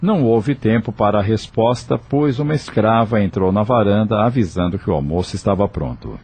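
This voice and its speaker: A man's voice: lowish voice, brisk, darkish tone, slightly rough. A man reading scripted narration as the narrator of an audio novel.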